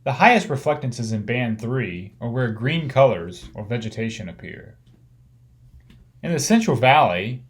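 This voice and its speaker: A man narrating an instructional slide lecture, explaining things step by step in measured, clearly organised phrases. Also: mid-range voice.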